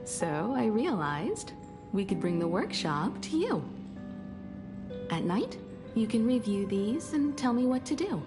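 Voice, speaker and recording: Poised, slow, expressive mature woman. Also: fairly high pitch, music in the background. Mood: warm, eager. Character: poised, devoted mature woman, calm and reassuring. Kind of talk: anime-style game voice acting.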